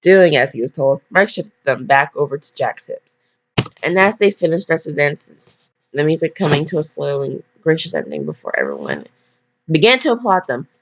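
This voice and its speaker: A woman's voice: sits low, sing-song, normal speed. A teenage girl casually reading aloud and rambling off on goofy, self-deprecating asides. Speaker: fan fiction reader. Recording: dull lo-fi microphone.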